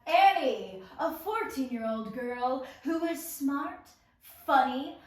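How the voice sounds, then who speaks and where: A woman narrating at a table read, announcing characters and reading stage directions with deliberate emphasis. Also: sits high, lively intonation. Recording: very echoey room, distant mic.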